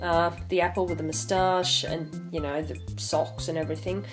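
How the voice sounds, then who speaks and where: Low-voiced young woman with music behind. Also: little pitch movement, normal speed. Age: 20s. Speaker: online video host. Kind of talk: casual commentary and theatrical picture-book reading.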